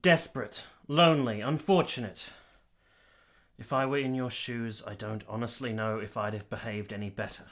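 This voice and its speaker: A man playing a gentle, sympathetic, reserved gentleman in a period radio drama, measured, hesitant and formal. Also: mid-range voice, steady tone, natural voice. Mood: tender, pained.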